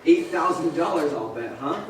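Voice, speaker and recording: A male actor plays an elated, frantic family man who exclaims everything with breathless joy. His pitch is high and swings widely. It is a stage radio play, caught from a distance in a very echoey hall. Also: expressive, normal speed, close to an everyday voice. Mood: giddy joy. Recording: roomy theater stage, distant mic, faint noise.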